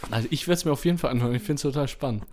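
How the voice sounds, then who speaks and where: A man's voice: medium pitch, rapid-fire, a little mellow, a little hiss or hum. Enthusiastic man chatting casually. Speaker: podcast host. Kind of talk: casual chat.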